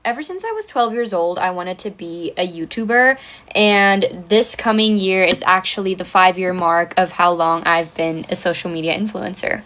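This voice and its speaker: A woman's voice: fairly low pitch, a bit bright. A woman in her twenties from South Africa with an American accent, chatting casually to her viewers as an influencer while getting ready. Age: 20s. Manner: chatty, upbeat, talking straight to viewers. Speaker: social media influencer. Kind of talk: get-ready-with-me vlog chat.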